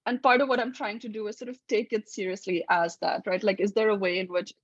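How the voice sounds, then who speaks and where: A woman speaking as a panelist in an academic discussion, reflective and measured, over a video call. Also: mid-range voice, fairly even intonation, no room sound, highs cut off.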